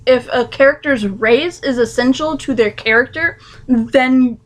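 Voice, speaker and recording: A woman's voice: high voice, a little slow. A woman co-hosting a casual show chat, talking with many fillers and restarts. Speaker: co-host reviewing a TV show.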